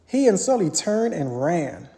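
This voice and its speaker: A parent narrates a children's storybook aloud. The delivery is animated, with pitch that swings freely through each sentence. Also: measured pace, vocal fry.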